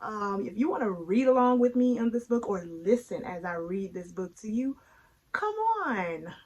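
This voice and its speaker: A woman hosting a read-aloud of her own book, chatty and playful, speaking emphatically with dramatic pauses. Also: mid-range voice, darkish tone. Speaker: author and read-aloud host.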